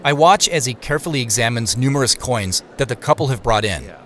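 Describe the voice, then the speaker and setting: An actor playing a weary, wry man who narrates his own secret quest in an audio drama, in a measured way. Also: mid-range voice, expressive, fairly bright tone, a little hiss or hum, close to an everyday voice. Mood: measured, watchful. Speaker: narrator and lead character. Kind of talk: first-person audio drama narration and dialogue.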